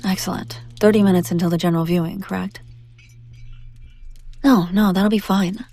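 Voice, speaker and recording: A woman playing a haughty, wealthy demon buyer in a scripted audio roleplay, condescending and teasing with drawn-out theatrical delivery. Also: mid-range voice, fairly even intonation, dark tone, faint music behind, close to an everyday voice. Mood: cool, businesslike.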